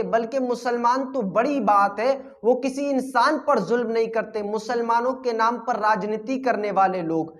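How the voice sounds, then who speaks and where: Emphatic commentator with rhetorical delivery. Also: mid-range voice. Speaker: host of a video commentary channel. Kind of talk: news commentary addressed to viewers.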